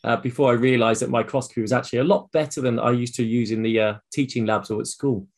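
A British man speaks at a middle pitch as the host of a podcast. His delivery is casual and conversational, in the manner of an interviewer chatting with a guest. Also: steady tone, quick pace.

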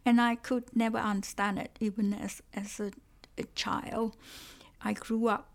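A woman whose first language is Cantonese, talking thoughtfully in an interview, often halting and repeating words as she searches for them. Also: fairly high pitch, level tone, vocal fry. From Malaysia. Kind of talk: conversational interview.

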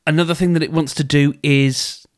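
A man's voice: on the high side, steady tone, fairly quick, clear, resonant tone. A man hosting a solo advice podcast, thinking aloud in a conversational way and often restarting his sentences. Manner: conversational, thinks aloud, acts out inner dialogues; frequent restarts and filler phrases. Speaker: podcast host.

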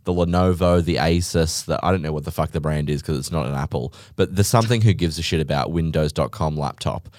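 A man hosting a podcast, sweary and camp, bantering quickly and laughing often. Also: extremely low pitch, darkish tone, dry recording, studio quality. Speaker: podcast host. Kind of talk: casual chat.

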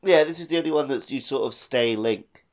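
A man's voice: medium pitch, fairly quick. A man co-hosting a casual gaming podcast, talking wryly and conversationally. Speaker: podcast co-host.

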